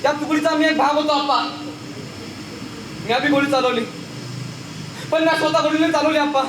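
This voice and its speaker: A man's voice: very high voice, steady tone, a little fast. An actor playing an earnest, loyal working-class man in a stage play, repetitive, with a rural Maharashtrian accent. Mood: pleading. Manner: pleading, repetitive, rustic. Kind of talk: theatrical Marathi stage acting. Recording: noisy, echoey stage recording.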